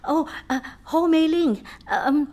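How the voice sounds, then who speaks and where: Shy female student, played by a woman: high, slow delivery, polite, amateur radio drama. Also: darkish tone. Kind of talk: amateur radio play acting. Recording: classroom crowd noise behind.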